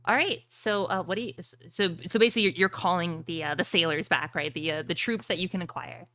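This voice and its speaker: Bright-voiced woman as game master. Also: fairly low pitch, quick pace, no echo. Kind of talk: narrating and refereeing a tabletop roleplaying game.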